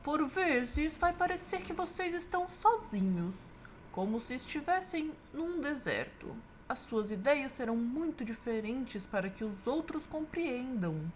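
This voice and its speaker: A woman voicing a wise, encouraging inventor mentor in a children's cartoon, speaking in a measured way. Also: high voice, sing-song, a little hiss or hum. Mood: reassuring.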